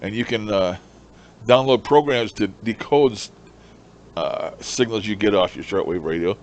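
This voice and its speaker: A man's voice: fairly low pitch, unhurried, muffled recording. A man gives a casual, unscripted demonstration as a hobbyist, explaining things and repeating himself. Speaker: amateur radio hobbyist, presenter. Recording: clear steady background noise.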